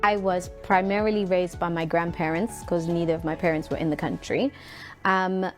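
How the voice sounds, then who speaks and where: A woman telling personal stories in an interview, expressive and quoting relatives. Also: medium pitch. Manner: expressive, quotes relatives in character.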